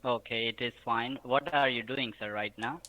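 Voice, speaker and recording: A man's voice: mid-range voice, steady tone, moderate pace, pushed and bright. A man posing as an official on a phone call, asking questions insistently in broken, ungrammatical English.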